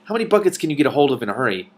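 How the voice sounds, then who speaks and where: A bold storybook princess, read by a man, practical and sceptical. She speaks briskly and flatly, with dry, cutting confidence. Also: medium pitch, voice pushed up, lightly acted. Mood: brisk, resolute.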